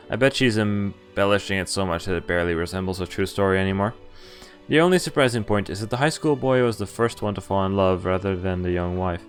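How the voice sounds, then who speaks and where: A man on a live game stream, reading visual novel text aloud and reacting casually in an understated way. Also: on the low side. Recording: game music playing behind. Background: video game music.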